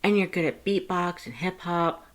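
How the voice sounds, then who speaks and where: A narrator voicing a friendly, excitable young college student with a bubbly, eager manner. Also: medium pitch, normal speed, voice pushed up, close to an everyday voice. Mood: curious, eager.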